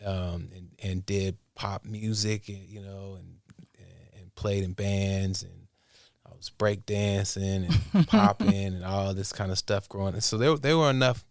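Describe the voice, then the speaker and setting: A man from the United States with an American accent, talking casually and haltingly as an interview guest. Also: low voice, gravelly, no room sound, clean studio sound. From Portland, Oregon, United States. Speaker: interview guest, music producer and inventor. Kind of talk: casual conversational storytelling.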